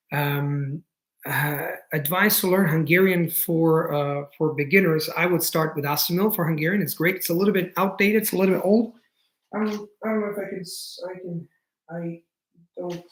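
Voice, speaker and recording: A man's voice: on the high side, fairly bright tone, rough recording. A man in his thirties with an Italian accent, answering viewer questions in a casual live stream, with many restarts and fillers. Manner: frequent restarts and fillers, thinking aloud; enthusiastic, explanatory.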